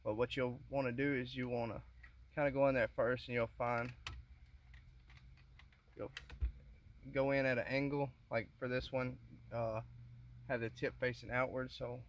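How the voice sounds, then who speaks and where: A man giving a casual hands-on how-to, informal and halting as he thinks aloud while working, recorded on a handheld phone inside a car. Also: mid-range voice, level tone, slight background noise. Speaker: car DIY demonstrator. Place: inside a car, close quarters.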